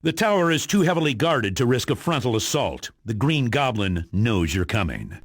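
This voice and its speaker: Authoritative spy commander, lively and dry-miked. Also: male voice, medium pitch, moderate pace, no room sound. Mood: businesslike. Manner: authoritative, matter-of-fact. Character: stern, businesslike male spy commander briefing a superhero. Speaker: mission commander. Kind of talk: video game mission briefing.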